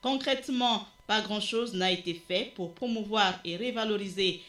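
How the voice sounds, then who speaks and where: Brisk radio reporter, echoey sound. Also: mid-range voice, a bit bright. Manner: brisk questioning, then read news narration. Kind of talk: news report and field interview.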